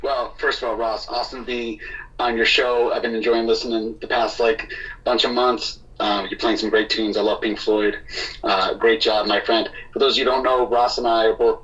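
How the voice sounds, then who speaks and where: A man's voice: mid-range voice, flat delivery, normal speed. Man on a dull, distant phone line. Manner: warm, earnest, talks with many fillers. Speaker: singer-songwriter guest. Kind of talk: casual phone interview.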